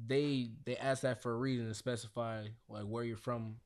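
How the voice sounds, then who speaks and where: A mid-pitched man explains his ideas on a podcast. He walks through them step by step and keeps checking that his listeners follow. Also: steady tone, moderate pace, a little mellow, no echo. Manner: explains theories, repeats "you feel me". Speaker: podcast co-host. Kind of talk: casual chat.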